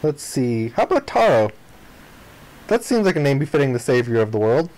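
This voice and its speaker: A man reading the narration and dialogue of a visual novel aloud in an expressive style. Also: medium pitch, a little fast, low-quality recording.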